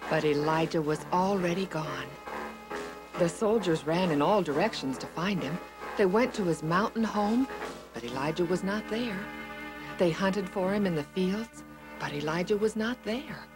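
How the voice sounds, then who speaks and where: A woman narrating a scripted children's story with simple, expressive phrasing. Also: fairly low pitch, normal speed, music in the background.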